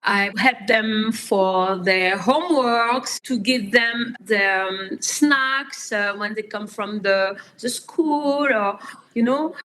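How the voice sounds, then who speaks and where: A woman in her fifties, telling her life story in an interview in slow, halting speech with many pauses and fillers. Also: mid-range voice, fairly bright tone, poor audio. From France. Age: 50s. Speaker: interview guest.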